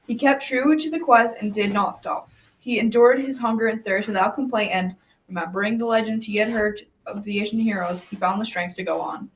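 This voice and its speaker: A woman's voice: mid-range voice, fairly quick, close to an everyday voice. A young adolescent girl narrating a folktale as a grandfather in a scripted school radio drama. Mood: storytelling. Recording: faint noise, slightly distant microphone.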